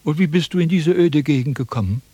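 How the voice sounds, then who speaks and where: An actor playing a self-important, wordy wandering philosopher in a declamatory, quibbling radio drama style. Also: male voice, a little high, a little fast, dark tone, husky, dry recording. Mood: serene, curious. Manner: declamatory, pompous, sophistical. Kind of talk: theatrical German radio drama acting.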